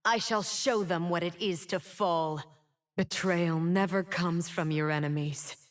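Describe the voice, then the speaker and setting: A woman's voice: on the low side, fairly even intonation, close to an everyday voice. An actress voicing a proud, wounded, immortal winged woman in a video game, speaking with solemn, dramatic defiance. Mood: wounded, defiant. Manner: slow, solemn, dramatic delivery. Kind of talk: scripted game character voice lines.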